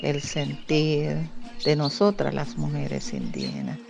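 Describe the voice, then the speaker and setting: A Miskito-speaking woman from Nicaragua, answering interview questions in an earnest, deliberate way. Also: low voice, big pitch swings, creaky, over music. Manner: earnest, deliberate, frequent "¿verdad?" tag. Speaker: guest. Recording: muffled lo-fi audio.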